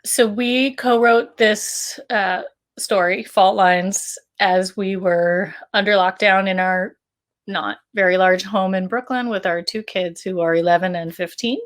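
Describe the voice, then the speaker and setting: A middle-aged woman speaks as a writer presenting a project. Her voice sits at a medium pitch, and her delivery is casual and conversational, like an informal promotional chat. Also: fairly even intonation, measured pace. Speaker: co-author of a fiction podcast. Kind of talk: casual promotional talk about a story.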